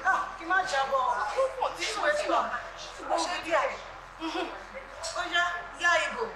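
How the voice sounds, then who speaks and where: A woman's voice: very high voice, moderate pace, a loud crowd. A woman playing a bossy scolding mother-in-law. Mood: scolding lecture. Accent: Nigerian Yoruba. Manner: scolding, lecturing, mixing Yoruba. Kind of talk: live stage acting. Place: church hall stage. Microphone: handheld mic. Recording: echoey hall, noisy.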